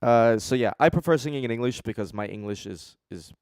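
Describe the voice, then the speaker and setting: A man's voice: mid-range voice, a little fast, darkish tone, smooth, dry recording, clean studio sound. A man from Denmark chatting casually as a co-host of a reaction show, with a blunt, joking, sweary manner. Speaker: co-host reviewing beatbox competition entries.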